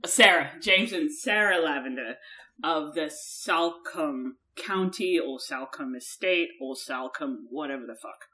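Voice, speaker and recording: Bright-voiced woman with a mixed accent. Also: deep voice, measured pace. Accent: mixed German-American-English. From Germany. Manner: chatty, explaining, swears casually. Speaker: podcast co-host. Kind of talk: casual chat.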